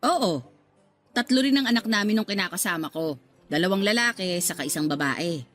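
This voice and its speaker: An actress playing a talkative, motherly middle-aged working-class woman in a radio drama, expressive and given to exclaiming. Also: mid-range voice, brisk, natural voice. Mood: matter-of-fact. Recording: music under the voice.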